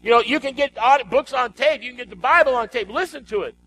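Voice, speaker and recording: A man's voice: very high voice, fast, a bit bright, slight background noise, poor audio. A man preaching a sermon as a pastor, emphatic and exhorting, repeating phrases and putting rhetorical questions to his congregation.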